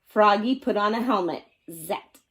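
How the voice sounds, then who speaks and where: A woman's voice: mid-range voice, unhurried. A woman storyteller reading a children's picture book aloud expressively, acting out the sound words with drama.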